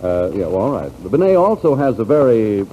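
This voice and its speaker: A man's voice: lowish voice, big pitch swings, a little fast. An American man announcing and reading scripted advertising in a 1940s radio style. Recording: dull, faintly noisy 1940s radio recording.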